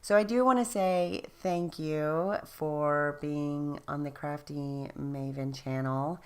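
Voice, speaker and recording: A woman narrating her craft project in a casual voice-over, tired and a little raspy, chatty and self-deprecating. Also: deep voice, fairly even intonation, slow. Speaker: craft video host.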